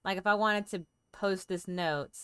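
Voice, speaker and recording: A woman's voice: mid-range voice, measured pace, pushed and bright, clear, resonant tone, no room sound. A woman chatting playfully and thinking aloud as she comments on a game for a livestream. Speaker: game streamer.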